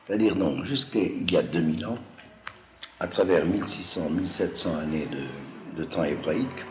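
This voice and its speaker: A man with a low voice and a slight Toulouse-like accent, a native speaker of Judeo-Arabic, lectures off the cuff. He explains didactically and haltingly, repeating phrases for emphasis. The room echoes around him, with faint noise behind. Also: a little mellow. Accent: slight southwestern French (Toulouse-like). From Algeria. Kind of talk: extemporaneous lecture to listeners. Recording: roomy echo, faint background noise.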